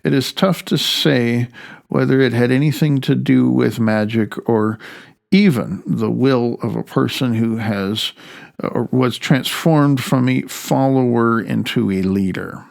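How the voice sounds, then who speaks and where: A man with a gravelly voice narrates his own nonfiction audiobook, reading a little haltingly with small stumbles and self-corrections. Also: mid-range voice.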